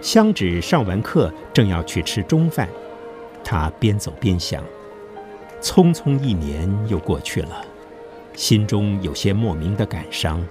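A man's voice: low-pitched, big pitch swings, a little slow. A man narrating a scripted historical story for an audio drama. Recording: music behind the voice. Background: clear background music.

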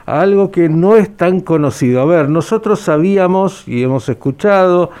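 A male podcast host leads an interview. His voice is smooth and resonant and sits a little high, and he frames each question in a deliberate, formal manner. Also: animated, a little mellow.

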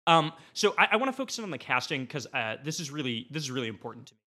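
A man speaking as a podcast guest, making a rambling, mock-serious comedic pitch in a casual panel debate. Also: big pitch swings, rapid-fire, pushed and bright.

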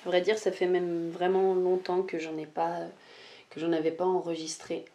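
A young woman hosting a solo podcast, talking without notes and often pausing with fillers and restarts. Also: lowish voice, little pitch movement, dark tone. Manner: hesitant, many "euh" fillers and restarts. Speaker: podcast host, life mentor. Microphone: podcast microphone.

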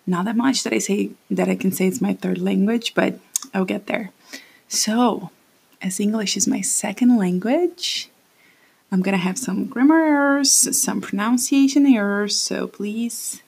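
A woman's voice: mid-range voice, vocal fry, a little mellow, husky. A woman in her late twenties from Brazil with a Brazilian Portuguese accent, hosting her own podcast in a rambling, self-conscious way. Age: late 20s. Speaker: podcast host. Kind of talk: casual solo podcast monologue. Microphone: standing at a home mic.

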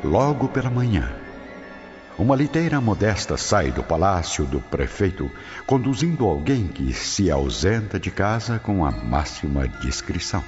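A man's voice: sits low, big pitch swings, a little slow, darkish tone. A man with a Brazilian accent narrating a radio drama from a script, solemn, formal and theatrical. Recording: dull audio, long reverb, music behind.

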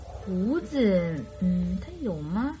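A woman's voice: fairly low pitch, very animated, extremely slow, mellow, faint music behind, full character voice. An actress playing an earnest, naive young bride-to-be in a radio drama, recounting her story in a hesitant, chatty way. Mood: shy, earnest.

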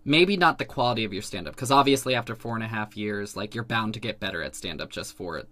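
Bright-voiced chatty woman interviewer. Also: extremely low pitch, animated, quick pace, no echo. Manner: chatty, laughs often, hedges with "like". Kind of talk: casual interview questions.

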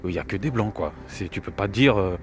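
A man's voice: deep voice, expressive, fast talker. A middle-aged man with a cold gives rambling, scornful commentary, often repeating his words. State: has a cold. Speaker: video commentator. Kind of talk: opinionated reaction commentary.

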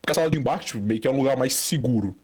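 A man's voice: medium pitch, rapid-fire, darkish tone, slightly rough. A young man from Brazil telling a long, rambling anecdote in casual chat, full of fillers and restarts. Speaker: storyteller guest.

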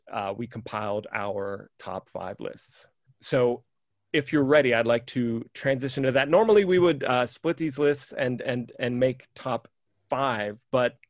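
Male podcast host, chatty and eager, dry close sound, mid pitch. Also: measured pace, no echo. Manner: enthusiastic, rambling with many fillers. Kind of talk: casual music chat.

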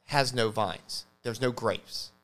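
A man co-hosting a podcast, explaining things casually in a halting way, often stammering and correcting himself. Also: medium pitch, a bit bright. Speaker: podcast host.

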